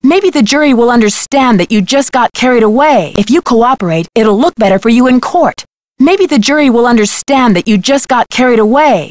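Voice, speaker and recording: A high, closely recorded young female detective for a mystery video game. She is earnest and determined, enunciating each question like someone set on getting answers. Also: no echo. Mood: urgent, pressing.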